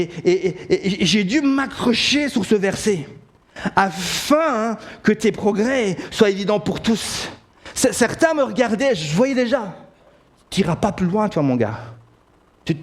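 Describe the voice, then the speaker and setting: A middle-aged Belgian pastor preaching with a notably high-pitched voice. His Belgian French accent colours each word, and he keeps restarting phrases before driving them home with emphatic declarations. He is speaking from a church pulpit. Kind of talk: sermon. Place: church sanctuary.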